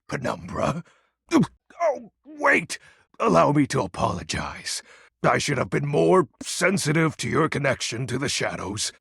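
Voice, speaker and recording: A man voicing a melodramatic, pompous dark lord, darkness personified, in a video game, with a raspy, gravelly voice and grandiose archaic diction. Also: medium pitch, no echo, clean studio sound, exaggerated voice. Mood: flustered, apologetic. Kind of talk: video game character voice acting.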